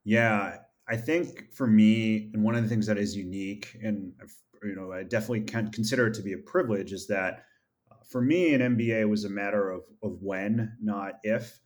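Low-voiced man, measured and earnest. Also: steady tone. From upstate New York. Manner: measured and earnest, with frequent uh fillers. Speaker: podcast guest, MBA graduate and founder. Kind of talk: reflective interview answers.

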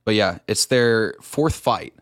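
Laid-back guy with a lowish voice. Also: expressive, slow, fairly bright tone, no echo, clean studio sound. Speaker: podcast co-host. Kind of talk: casual banter.

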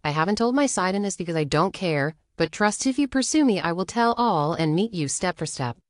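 A woman playing a firm, curt, unbothered woman in a measured, matter-of-fact reading of text messages. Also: on the low side, animated, no room sound, clean studio sound, natural voice. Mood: cool, firm.

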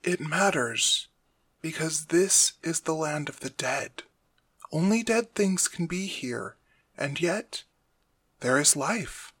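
A man playing a calm, calculating captive father god in an audio drama, quiet and deliberate. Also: on the high side, breathy edge, no room sound, exaggerated voice. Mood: quietly probing.

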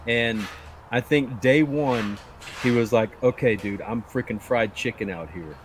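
A man's voice: medium pitch, a little slow, slight background noise. A man hosting a podcast in casual chat, teasing and interrupting with jokes. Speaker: podcast host.

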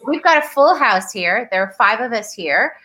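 A woman's voice: on the high side, lively intonation, normal speed, pushed and bright, low-quality recording. A middle-aged woman from Tanzania, an actress, chatting in an interview with frequent laughs and restarts. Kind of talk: casual interview chat.

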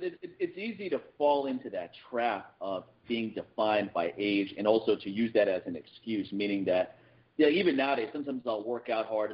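A man co-hosting a casual podcast chat, animated and quick, often repeating words as he starts a thought. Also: on the low side, highs cut off. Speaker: podcast co-host.

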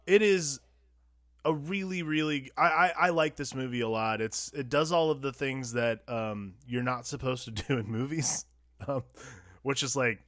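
Laid-back podcast co-host, dry close sound. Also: male voice, mid-range voice, fairly bright tone, no room sound. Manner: laid-back, rambling, quick to laugh. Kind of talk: casual podcast chat.

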